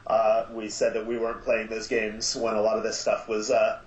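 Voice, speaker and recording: Podcast guest, male, halting casual delivery, roomy and dull recording. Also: fairly low pitch, completely flat delivery. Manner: hesitant, many filler sounds. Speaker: podcast guest from an audio drama. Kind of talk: casual interview talk. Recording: echoey, dull lo-fi line.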